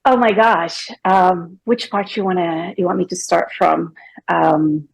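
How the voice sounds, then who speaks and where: A woman's voice: on the low side, fairly even intonation, a little slow, gravelly, husky, low-quality recording. A middle-aged woman from Morocco with a Moroccan accent, a guest coach chatting loosely with filler words and restarts. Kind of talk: casual interview talk.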